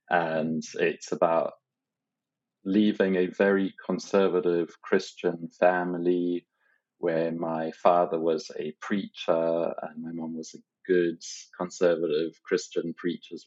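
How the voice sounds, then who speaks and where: A man's voice: deep voice, a little mellow, muffled recording. A man from Zimbabwe, a podcast guest, telling his life story in an earnest, reflective way. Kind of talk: casual interview conversation.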